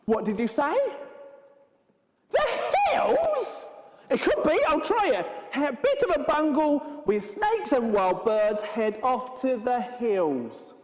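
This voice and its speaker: A woman playing an excitable, scatty, friendly adventurer in an interactive children's stage show, exaggerated and playful as she talks straight to the children. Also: high-pitched, slow pace, slight background noise, heavily acted. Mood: puzzled, coaxing. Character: excitable, scatty, friendly adventurer setting off by hot air balloon. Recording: echoey hall with long reverb.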